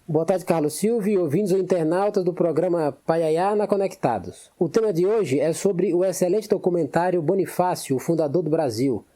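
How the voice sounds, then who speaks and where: Male radio columnist, very dark, mellow tone, formal scripted read. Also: sits high, moderate pace, hardly any pauses. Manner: steady, formal delivery. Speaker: cultural segment columnist. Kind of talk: scripted reading.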